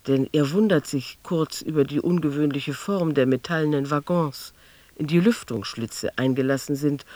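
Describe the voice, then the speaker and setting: A man narrating a literary radio drama in a deliberate, observational manner. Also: fairly high pitch, darkish tone, dry recording.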